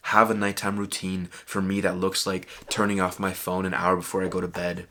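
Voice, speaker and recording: A young man talking straight to camera about fitness, earnest and emphatic, leaning on "bro" and "literally." His voice sits low and stays fairly level in pitch, with a bright, forward edge. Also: monotone, fairly quick. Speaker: fitness vlogger. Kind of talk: casual motivational talk to camera.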